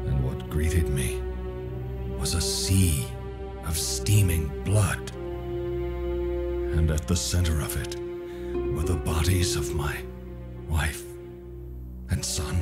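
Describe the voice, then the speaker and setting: A man's voice: extremely low pitch, takes it slowly, long pauses. A man playing a grieving, reclusive old alchemist in a video game cutscene, grave and guarded with a weighty voice. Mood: haunted, grieving. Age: older. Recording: loud music behind the voice. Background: loud background music.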